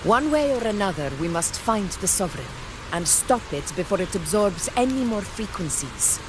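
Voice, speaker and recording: A woman voicing a resolute female leader in a video game, grave, measured and formal. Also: medium pitch, big pitch swings, noisy background, natural voice.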